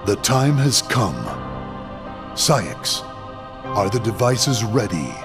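Deep slow cold villain boss, game cutscene, music behind. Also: male voice, sing-song. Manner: slow, measured, grave. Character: cold commanding villainous male leader. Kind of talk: video game cutscene acting. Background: game score.

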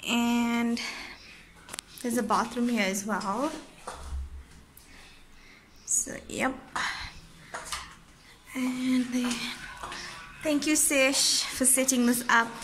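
A woman's voice: fairly high pitch, fairly even intonation, extremely slow, long pauses, fairly bright tone, a little hiss or hum. A young South African woman vlogging casually on a handheld phone, bubbly and chatty, often laughing. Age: young adult. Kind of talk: casual vlog chat. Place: restaurant, car and hotel rooms. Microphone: handheld phone camera.

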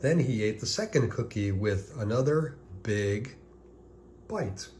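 A man reading a children's picture book aloud as scripted narration, deliberately, with pauses before key words. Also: medium pitch, animated, a little mellow, slight background noise.

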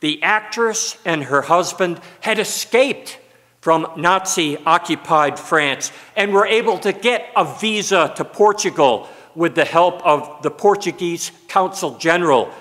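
Slow, bright-voiced older preacher in a church. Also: male voice, high-pitched. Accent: American. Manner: slow, expressive preaching with broad hand gestures. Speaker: pastor. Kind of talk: sermon. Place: church sanctuary, at a pulpit. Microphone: pulpit mic. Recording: long church reverb.

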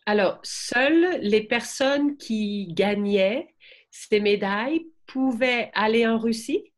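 A woman's voice: a little high, slow. A middle-aged woman hosting a podcast over a video call, asking curious, encouraging questions from a room at home. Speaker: podcast host. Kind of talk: conversational interview.

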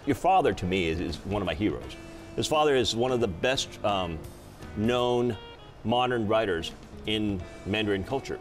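A man's voice: on the low side, expressive, normal speed, faint music behind. A middle-aged man hosting a late-night talk show in an effusive way, often repeating and restarting his phrases. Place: TV studio.

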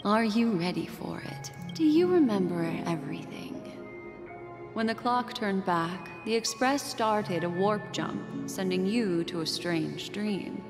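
A woman's voice: mid-range voice, animated, lightly acted. A woman voicing a hypnotic fortune-teller. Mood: soothing, enigmatic. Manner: slow, hypnotic storytelling. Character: mysterious woman fortune-teller, soft and knowing. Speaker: game character narrating a recap. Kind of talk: video game cutscene voice acting. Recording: game audio with long reverb and music.

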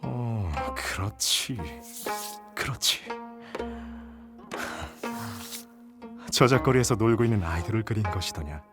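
A man playing an amused, indulgent Joseon nobleman in a historical roleplay ASMR, with archaic, gently commanding, teasing speech. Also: fairly low pitch, normal speed, close to an everyday voice. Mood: fond, teasing. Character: wealthy Joseon nobleman, amused, indulgent patron of a young gisaeng. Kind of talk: Korean historical roleplay ASMR audio drama. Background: traditional Korean court music.

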